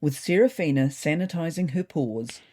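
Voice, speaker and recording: A woman's voice: low-pitched, expressive, moderate pace, warm tone, slight background noise. A woman narrating a scripted comic diary story for a fiction podcast, with wry, dry understatement.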